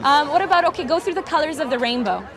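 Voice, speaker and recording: A woman's voice: high voice, racing, a bit bright. A woman reporter, a native Romanian speaker, chatting playfully and laughing as she interviews a festival guest outdoors. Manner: playful, laughing, coaching phrases. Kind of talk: casual street interview. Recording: outdoor crowd noise behind.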